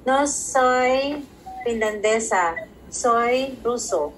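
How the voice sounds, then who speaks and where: A woman speaks her practice sentences very slowly, pausing and unsure of her endings. Her voice is a little high, coming over a video call with faint noise behind it. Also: poor audio. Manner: slow, careful, unsure of word endings. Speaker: student. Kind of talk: practicing phrases in a class.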